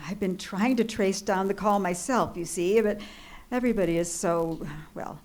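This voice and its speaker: An actress playing an anxious, imperious bedridden married woman in a radio suspense play, flustered, halting and indignant. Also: mid-range voice, darkish tone, close to an everyday voice. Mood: agitated, rattled. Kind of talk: old-time radio suspense play acting. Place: live theatre stage.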